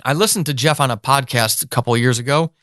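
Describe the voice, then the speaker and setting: A man with an American accent hosting a solo podcast, talking conversationally in an emphatic, explanatory way with deliberate drawn-out stresses. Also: mid-range voice, a bit bright, dry recording, studio quality. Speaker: podcast host; writer and leadership teacher.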